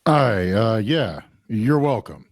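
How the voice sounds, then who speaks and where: An actor playing a burly pub keeper in an audio drama, halting and polite but unimpressed. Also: male voice, on the low side, lively intonation, no echo, clean studio sound, full character voice. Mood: awkward, nudging. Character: burly, no-nonsense pub keeper, a man, mildly impatient.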